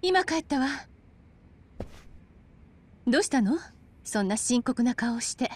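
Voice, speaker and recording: An actress playing a serious, headstrong young police officer in a game drama, speaking in a direct, crisp manner. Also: female voice, a little high, lively intonation, unhurried, darkish tone, a little hiss or hum. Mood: composed. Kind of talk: dramatic video game cutscene acting.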